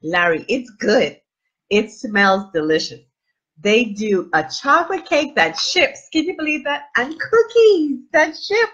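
Gushing middle-aged woman, husky webcam audio. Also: on the high side, a little slow, lo-fi sound. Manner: gushing and animated, frequent exclamations and fillers. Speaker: livestream host. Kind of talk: casual live unboxing chat. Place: small indoor room with plain wall. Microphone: webcam at desk distance.

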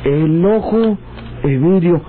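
A man's voice: fairly high pitch, slow. An actor playing a jittery, grumbling rustic man with a northern Mexican accent in an old radio drama. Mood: shocked, fearful. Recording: tinny old radio recording with faint noise.

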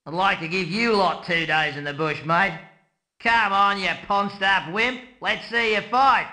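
A cocky video game soldier, with a broad Australian or New Zealand accent. His voice sits high for a male and is extremely bright and forceful. He throws out brash, mocking, slangy taunts. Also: measured pace, exaggerated voice.

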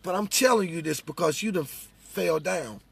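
A middle-aged American man with a high, gravelly voice and a husky edge. He preaches conversationally, testifying emphatically and repeating his points for weight. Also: animated, normal speed. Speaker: religious speaker. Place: inside a parked vehicle.

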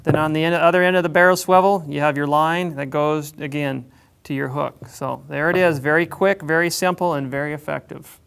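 A man explaining how to tie a drift fishing setup, in a plain, unhurried way with frequent pauses. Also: on the high side, fairly even intonation, gravelly, fairly bright tone, clear, resonant tone. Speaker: fishing instructor. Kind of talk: instructional demonstration.

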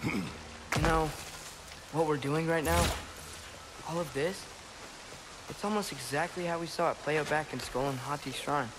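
A young man voicing an earnest teenage boy in a fantasy game. His voice sits a little high and youthful, with a curious, casual delivery over loud background noise. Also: unhurried, pauses often, lightly acted. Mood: thoughtful. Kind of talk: video game dialogue acting.

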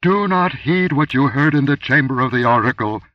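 Man voicing an inhuman goddess, booming, commanding, raised and loud, dull distant recording. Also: expressive, normal speed, no room sound, natural voice. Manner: booming, thundering pronouncements.

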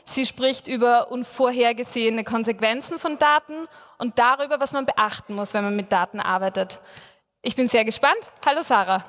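A woman's voice: fairly high pitch, normal speed, fairly bright tone. A woman hosting an event, delivering a scripted stage introduction for a speaker. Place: large conference hall on stage, indoors. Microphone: podium microphones. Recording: echoey hall with long reverb.

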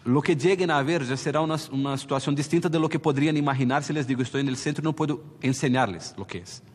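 A man from Brazil, an inmate, speaking in a measured, articulate way. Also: mid-range voice, slightly rough, big-room sound.

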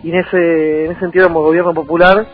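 A man with a Rioplatense Argentine accent talking politics as a radio interview guest, emphatic and repetitive, with halting phrasing. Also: fairly high pitch, flat delivery, husky. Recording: tinny phone-like sound over music.

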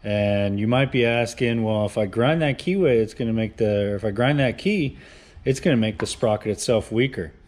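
A man's voice: on the low side, moderate pace, darkish tone. A man in his thirties explaining engine work in a casual workshop tutorial, plain-spoken with frequent uhs and restarts, recorded in a home garage. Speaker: engine builder explaining cam timing. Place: home garage with cars and a car lift. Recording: echoey garage.

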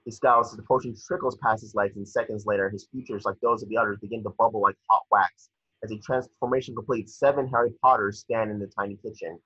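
A man with a husky voice reading out stage directions as scripted narration at a table read on a video call. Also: lowish voice, fairly even intonation, fast, pushed and bright, no room sound. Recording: muffled, dry video call audio.

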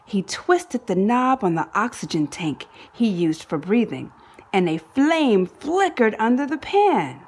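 Slow, animated woman reading a storybook. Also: medium pitch, slightly rough. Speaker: storybook reader. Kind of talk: expressive children's read-aloud narration.

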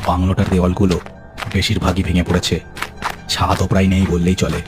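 A man with a deep voice reads a scripted horror story as narrator. Music plays prominently behind his storytelling.

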